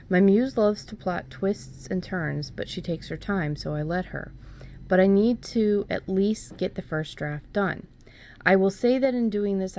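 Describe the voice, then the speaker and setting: A woman's voice: on the low side, a little mellow. A woman speaking as a podcast host, chatting casually and reading out listener questions.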